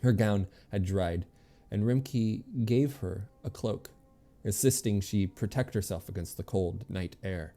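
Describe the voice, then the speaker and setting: A man's voice: lowish voice, measured pace, mellow. A man streaming a game, reading its text aloud with casual asides to his viewers. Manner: stumbles over names and corrects himself.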